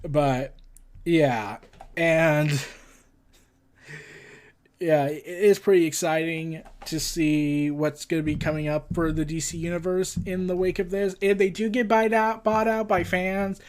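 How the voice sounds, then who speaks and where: High-pitched man, rambling news host. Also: steady tone. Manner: scattered, halting, often tongue-tied and losing track. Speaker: host of a comics and entertainment news show. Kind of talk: casual news roundup with rambling commentary.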